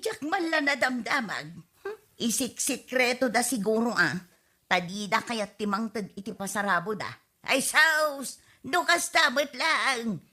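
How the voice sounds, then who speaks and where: A woman's voice: on the high side, pushed and bright, heavily acted. A woman acting a teasing, insistent village mother in an Ilocano radio comedy drama.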